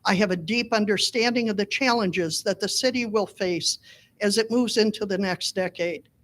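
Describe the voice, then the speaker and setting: A woman's voice: mid-range voice, normal speed, a bit bright. A middle-aged woman delivering a prepared campaign speech as a candidate for city council.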